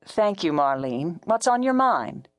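Woman as male talk radio host, audiobook character, smooth, relaxed, assured, lowish voice, slow delivery. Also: dry recording, dull audio. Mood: easy, assured.

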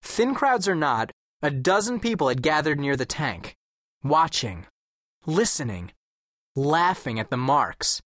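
A man's voice: on the high side, fairly bright tone, no echo, clean studio sound, heavily acted. An actor playing an observant, wry teenage boy who tells his own story as scripted audiobook narration. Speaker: first-person audiobook narrator.

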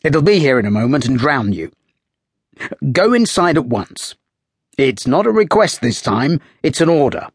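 A man voicing a ship's third officer, brisk, clipped and politely firm. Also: medium pitch, sing-song, slightly rough, dry recording, clean studio sound, pitched above the performer's own voice, heavily acted.

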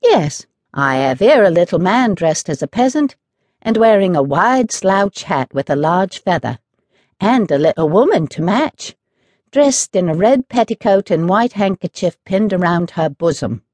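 Storybook village girl, played by an adult woman: cheerful, sly, pleased with herself, raised pitch, very animated, close dry sound. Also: no room sound. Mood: pleased, confiding. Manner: slow, affectionate, pleased delivery. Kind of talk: children's storybook character voice.

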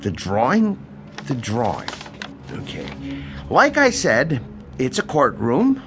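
An actor playing a grumbling, sarcastic, world-weary landlord in an audio drama, wisecracking and exasperated. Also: male voice, medium pitch, wildly swooping pitch, takes it slowly, music in the background, heavily acted. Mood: reluctant, exasperated.

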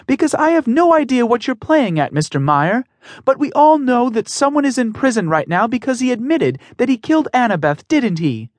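A man reading aloud and voicing a defiant, sharp-tongued young woman in a raised, high voice. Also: very animated, moderate pace, no room sound. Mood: accusing.

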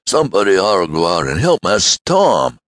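A man voicing an excited aged male servant who talks in folksy dialect. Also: on the low side, moderate pace, gravelly, no echo, clean studio sound, pitched above the performer's own voice, full character voice. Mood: frantic.

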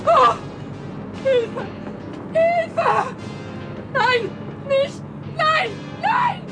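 Verführerische junge Frau mit hoher Stimme. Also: female voice, extremely high pitch, animated, drawn-out words, music in the background, full character voice, poor audio. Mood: terrified, screaming. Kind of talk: German audio horror drama acting.

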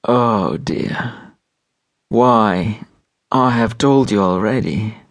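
A reader acts a sick, exhausted male doctor in a literary story. He mutters and stalls, halting between phrases. The tone is weary and grudging. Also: mid-range voice. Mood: irritable.

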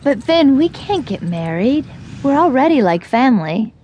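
An actress playing an independent, restless young ranch heiress in a Western audio drama. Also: female voice, high voice, big pitch swings, moderate pace, mellow, slight background noise. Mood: taken aback.

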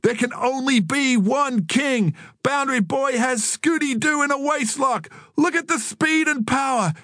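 A man's voice: very high voice, unhurried, fairly bright tone, dry recording, studio quality, pitched above the performer's own voice. A man voicing a boy who mimics a bombastic American wrestling commentator, hyped and announcing, in an audiobook story. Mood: bombastic hype. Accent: American, put on.

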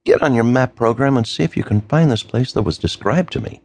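A man's voice: medium pitch, fairly quick, gravelly, darkish tone, dry recording. A man voicing a frightened older grandfather who speaks in an anxious, pleading way. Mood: firm.

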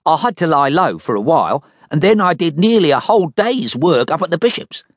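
A man voicing a rough, working-class petty crook with ungrammatical common speech. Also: fairly high pitch, sing-song, a little fast, no room sound, pitched above the performer's own voice. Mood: cheeky.

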